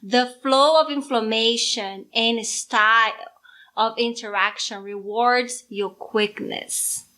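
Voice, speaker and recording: A woman's voice: on the high side, pushed and bright. A middle-aged Brazilian woman with a Brazilian accent, co-hosting a casual podcast with her daughter, playful and teasing. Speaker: podcast co-host, mother. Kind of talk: casual chat.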